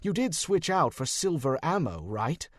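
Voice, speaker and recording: A man's voice: a little high, very animated, unhurried, no room sound, voice pushed up. A man voicing a fussy male wizard detective in an audiobook, precise and dry, easily exasperated. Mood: tense.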